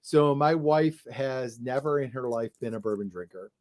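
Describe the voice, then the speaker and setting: A man co-hosts a casual livestream with a chatty, joking manner. His voice sits at a middle pitch. He often trails off mid-thought and restarts. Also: moderate pace, darkish tone. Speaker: co-host.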